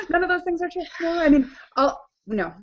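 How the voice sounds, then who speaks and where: A woman co-hosting a casual chat over a video call, talking fast and teasing with wry asides and giggles. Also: very high voice. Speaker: podcast co-host. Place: living room with a couch. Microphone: webcam on a video call. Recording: dull lo-fi video call audio.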